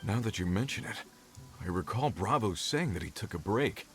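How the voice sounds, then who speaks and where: A man's voice: fairly low pitch, animated, moderate pace, soft background music, close to an everyday voice. A man voicing a worried mine overseer in a video game, concerned and questioning.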